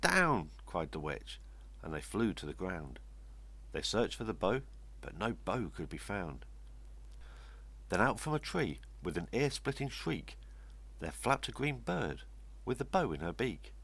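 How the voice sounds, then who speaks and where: An older man with a gravelly, husky voice reading a rhyming children's story expressively. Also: low-pitched, very animated, a little slow, pauses often. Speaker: story reader. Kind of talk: expressive read-aloud children's storytelling in rhyme.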